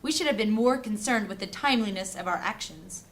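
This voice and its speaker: A woman playing a shrewd, composed mage councillor in a fantasy audio drama, speaking formally and deliberately. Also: mid-range voice, a bit bright, natural voice. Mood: reasoned, firm.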